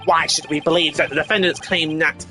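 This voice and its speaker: A man's voice: a little high, steady tone, a little fast, lightly acted. Guy doing a snooty indignant prosecutor, dismissive and arguing, game music playing. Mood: scornful. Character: indignant, scornful male prosecutor. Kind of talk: dramatic visual novel courtroom reading.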